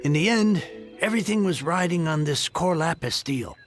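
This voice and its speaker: An earnest heir of a fallen house, acted by a man for a video game. The voice is high for a man, formal and effusive, over clear background music. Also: lively intonation, normal speed, lightly acted. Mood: solemn. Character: earnest, grateful heir of a fallen noble family. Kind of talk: video game character acting.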